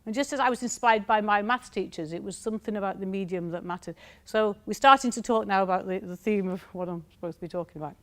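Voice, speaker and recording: A woman's voice: medium pitch, quick pace. A woman from the north of England with a northern English accent, giving a chatty, anecdotal conference talk in a lecture room and often checking in with the audience. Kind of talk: conference presentation.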